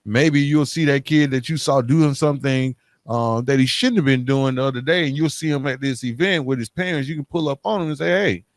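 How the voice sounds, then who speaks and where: A male podcast host with a medium-pitched voice and a very dry sound. He speaks haltingly, feeling for his words as he addresses listeners and his guest. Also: no room sound. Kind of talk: hosting an interview podcast, wrapping up with calls to action.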